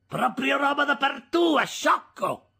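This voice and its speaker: A man's voice: very high-pitched, big pitch swings, slow pace, vocal fry, sharp tone, voice pushed up, full character voice. A man voicing a hysterical, scolding married woman in a fury, shrieking with indignation and scorn in an audiobook. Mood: scornful. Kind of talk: audiobook character voice.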